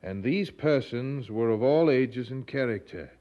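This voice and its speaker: An actor playing a zealous, earnest English preacher and husband in a radio drama, speaking with preacherly conviction. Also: male voice, mid-range voice, lively intonation, a little slow, muffled recording, natural voice. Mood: reflective.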